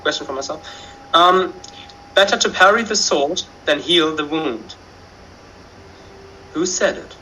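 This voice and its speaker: A man's voice: a little high, unhurried, long pauses, pushed and bright, slight background noise, low-quality recording. A man reading trivia questions and answers aloud on a casual online show, hesitant with frequent fillers. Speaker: question reader.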